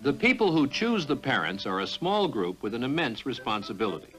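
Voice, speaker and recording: A man giving scripted documentary narration in a grave, formal, measured way. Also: mid-range voice, very animated, fairly bright tone, poor audio. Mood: solemn. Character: solemn male narrator of an adoption documentary. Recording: music behind the narration. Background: music.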